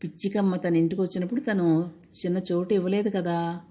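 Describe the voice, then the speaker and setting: Low-pitched woman telling children's stories. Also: fairly even intonation, fairly quick, mellow. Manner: asks the children questions, repeats and explains key words. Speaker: storyteller. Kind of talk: children's storytelling.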